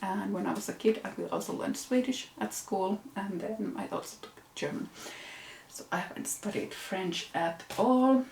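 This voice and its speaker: A woman's voice: medium pitch, moderate pace, vocal fry, darkish tone. Finnish-accented woman, casual knitting host, roomy echo and faint hum behind. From Finland. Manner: chatty, with frequent fillers and self-corrections. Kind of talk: casual show-and-tell chat.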